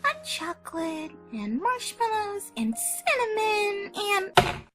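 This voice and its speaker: A man voicing an excitable young female cartoon pony in a squeaky falsetto for a fan fiction reading. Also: slow pace, over loud music, heavily acted. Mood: hopeful, dreamy.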